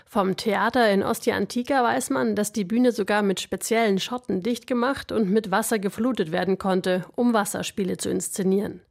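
A woman's voice: medium pitch, steady tone, normal speed, creaky, hardly any pauses, darkish tone. A woman reporter narrating a scripted radio feature.

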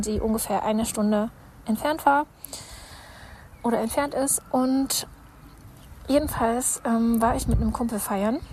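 A woman in her thirties telling a personal story in a voice message, hesitant, with many fillers. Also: fairly high pitch. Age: 30s. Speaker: caller sending a voice message. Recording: loud background noise.

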